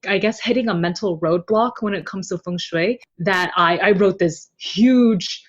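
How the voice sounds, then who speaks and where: A woman's voice: mid-range voice, measured pace, breathy edge, lo-fi sound. A woman speaking as an interview guest in casual, candid talk, expressively mimicking other people's reactions. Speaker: podcast guest, novel translator.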